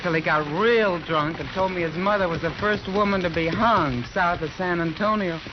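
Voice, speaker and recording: A woman's voice: on the low side, moderate pace, lightly acted. An actress playing a tough, wry saloon woman in a radio western, with a dry sardonic manner. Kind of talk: 1950s radio western acting. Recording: hissy, muffled old radio recording.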